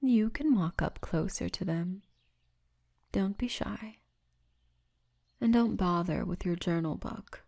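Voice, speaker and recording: A woman's voice: fairly low pitch, takes it slowly, gravelly, long pauses, dark tone, full character voice. A woman playing a poised, seductive orc tour guide in an ASMR fantasy roleplay, teasing and often half-whispering. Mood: inviting, teasing.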